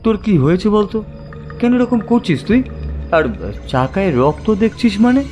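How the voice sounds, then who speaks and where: High, very animated sceptical young man. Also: normal speed, highs cut off. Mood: puzzled. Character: sceptical, reassuring young city friend. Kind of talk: horror audio drama. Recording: loud music over the voice.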